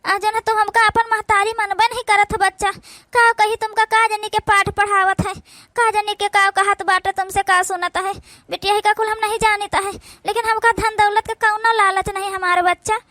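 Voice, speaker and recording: A very high, bright cartoon voice, acted by a woman, playing a weary village stepmother with an Awadhi accent. She is plaintive and devoted, coaxing with endearments. The recording is close and dry. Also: level tone, moderate pace, dry recording. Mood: hurt, pleading. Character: weary, loving village stepmother, tearfully devoted to her daughter. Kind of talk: village cartoon comedy-drama acting.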